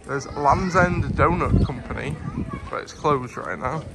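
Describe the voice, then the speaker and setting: Young man vlogging outdoors in loud wind. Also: on the high side, a little slow, gravelly. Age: young adult. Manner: chatty running commentary to camera, many fillers. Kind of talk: casual travel vlog. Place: outdoors on a windy coast and campsite. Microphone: phone held at arm's length. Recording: loud wind buffeting the mic. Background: loud wind noise.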